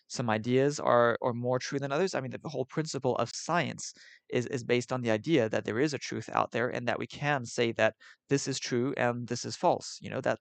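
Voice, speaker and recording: A man from Switzerland who sounds partly American, hosting a casual call-in debate, talking haltingly with many repeated words and frequent laughs. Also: mid-range voice, gravelly, no room sound. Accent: American-sounding with slight European tinge.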